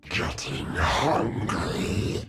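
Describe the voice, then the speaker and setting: An actor voicing a ravenous, monstrous void creature for a video game, speaking in clipped, broken, menacing phrases with a gurgling, gravelly sound. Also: medium pitch, expressive, extremely slow, fairly bright tone, faint music behind, full character voice. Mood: hungry menacing.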